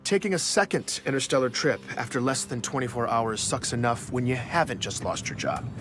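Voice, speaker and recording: A man's voice: mid-range voice, animated, a little fast, a bit bright, a little hiss or hum, lightly acted. An actor playing an investigative journalist, narrating his own story in an audio drama with a dry, confiding manner. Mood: wry, weary. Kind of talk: first-person scripted narration for audio drama.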